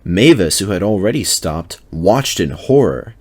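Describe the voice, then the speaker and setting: A man's voice: low voice, expressive, a little slow, darkish tone. A man reading a children's story aloud as narrator, in a scripted, dramatic storytelling style.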